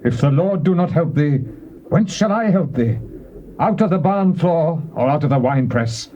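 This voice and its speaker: A man's voice: fairly high pitch, expressive, moderate pace, dark tone, highs cut off, natural voice. A man playing an agitated, anxious king. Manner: emphatic, agitated. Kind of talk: dramatized scripture reading. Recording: faint tape hiss.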